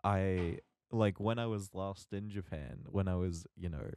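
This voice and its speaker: A man's voice: sits low, measured pace, mellow, slight background noise. A man co-hosting a podcast, bantering casually in a sarcastic, informal way. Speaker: podcast co-host.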